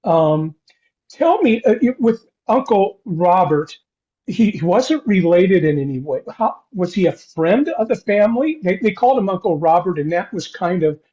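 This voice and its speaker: Older man, halting, dull lo-fi sound. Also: high-pitched, lively intonation, warm tone. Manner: halting, rambling delivery with repeated false starts. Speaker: podcast host. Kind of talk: conversational interview.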